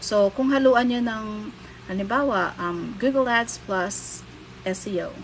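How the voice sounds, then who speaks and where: A woman's voice: fairly high pitch, measured pace, slight background noise, rough recording. A woman in her thirties from the Philippines with a Filipino accent, hosting a casual livestream and giving blunt, candid career advice with the odd casual swear. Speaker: livestream host and career coach.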